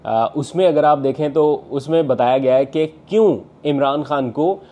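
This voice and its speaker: A man's voice: a little high, a little slow, warm tone. A young man, a political journalist vlogging from his living room on a lapel mic, talking earnestly with many "uh" fillers. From Pakistan. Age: young adult. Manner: earnest analytical talk with frequent uh fillers and hand gestures. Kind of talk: political news vlog. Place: home living room, indoors.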